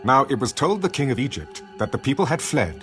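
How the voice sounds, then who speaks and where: A man giving solemn, measured scripted narration for a dramatized audio Bible. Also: medium pitch, big pitch swings. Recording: loud dramatic music bed.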